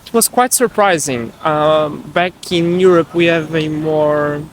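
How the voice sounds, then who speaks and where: A man's voice: fairly high pitch, a little slow. A man from Portugal answering street interview questions thoughtfully, sometimes searching for words. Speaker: tourist. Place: city street outdoors. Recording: loud street and traffic noise.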